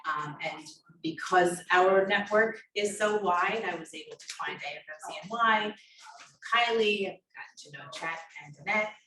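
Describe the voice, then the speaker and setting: A woman's voice: medium pitch, moderate pace, crowd noise. A woman in her thirties, born in Colombia and raised on Long Island, introducing herself hesitantly with many fillers at a workshop. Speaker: workshop presenter. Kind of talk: personal introduction at a conference panel. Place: conference room. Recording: echoey room, distant mic, audience around.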